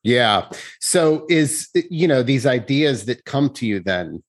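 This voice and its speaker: A man's voice: medium pitch, animated, slow, dry recording. A man hosting an interview show, talking in a conversational, informal and explanatory way. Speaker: podcast host and interviewer.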